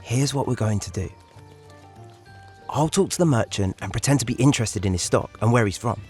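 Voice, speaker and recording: A man's voice: medium pitch, brisk, darkish tone, faint music behind, close to an everyday voice. A man playing a responsible, scheming thirteen-year-old leader of street urchins in an audio drama, firm and reasoning.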